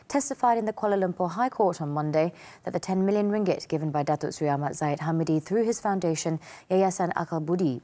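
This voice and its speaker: A female news anchor speaks. Her voice sits on the low side as she delivers prepared bulletins in a formal newsreading style. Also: warm tone. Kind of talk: scripted news reading.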